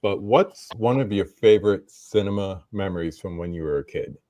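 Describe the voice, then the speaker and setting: A man's voice: low-pitched, normal speed, dull audio, slight background noise. A middle-aged man hosting a live roundtable stream, casually greeting viewers from the chat. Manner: laid-back, shouting out chat viewers. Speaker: host.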